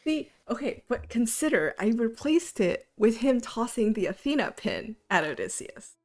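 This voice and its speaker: A woman's voice: a little high, sing-song, normal speed, darkish tone. A woman animator chatting casually on a livestream about her sketches, self-deprecating and talkative.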